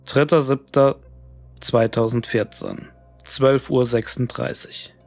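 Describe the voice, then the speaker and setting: A man narrates a scripted horror tale in a measured way. His voice has a gravelly creak and is recorded dry and close, with faint music underneath. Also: medium pitch, steady tone, darkish tone, dry recording. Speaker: narrator reading horror stories. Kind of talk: scripted creepypasta audiobook narration of emails and diary entries.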